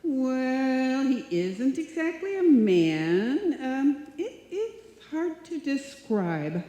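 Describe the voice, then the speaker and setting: Scatterbrained adoring young woman acted by a woman, high slow delivery, radio comedy, echoey stage sound. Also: big pitch swings, dark tone, heavily acted. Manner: guileless, dim-witted. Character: naive, scatterbrained, adoring young female roommate. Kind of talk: live old-time radio comedy acting. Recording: echoey hall, live stage performance.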